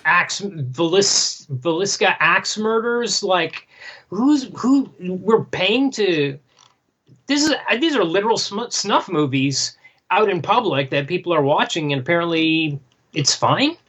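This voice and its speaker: Podcast co-host, mid-range voice, conversational and animated, working through thoughts out loud in a casual review chat. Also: mid-range voice, measured pace, dull audio. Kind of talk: casual comic book discussion.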